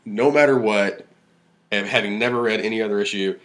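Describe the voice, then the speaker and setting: A man's voice: lowish voice, fairly quick, fairly bright tone, poor audio. A young man co-hosting a podcast, chatting casually, rambling and trailing off mid-thought. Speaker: podcast co-host. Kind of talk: casual chat.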